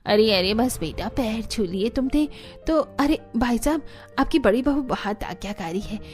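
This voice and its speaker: A woman's voice: fairly high pitch, expressive, unhurried, a little mellow, soft background music, pitched below the performer's own voice. A woman voicing a chatty, approving middle-aged female relative in a Hindi cartoon family drama.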